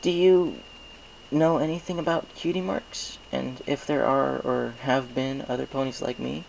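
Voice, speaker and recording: A man voicing a hesitant, agreeable young male pony who speaks in a halting, unsure way. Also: medium pitch, fairly even intonation, gravelly, a little hiss or hum, low-quality recording.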